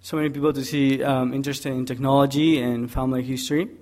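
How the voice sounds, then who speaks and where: A man's voice: mid-range voice, flat delivery, fast, a little mellow. A young man from Peru, a graduate student, giving a technical conference presentation with many hesitations, explaining step by step.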